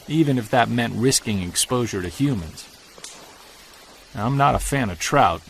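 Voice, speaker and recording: An actor playing a wistful separated man who hunts Bigfoot, narrating his audio-drama field journal in a creaky, conversational, earnest voice. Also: medium pitch, a bit bright, a little hiss or hum, poor audio.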